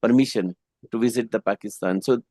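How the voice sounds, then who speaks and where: A man speaking in a relaxed, casual way, pausing often and filling gaps with "you know". His voice is mellow and dark. The recording is dry, with no room sound. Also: on the low side, no echo. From India. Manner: relaxed, hesitant, frequent "you know" fillers. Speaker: English conversation partner. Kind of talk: casual conversation practice.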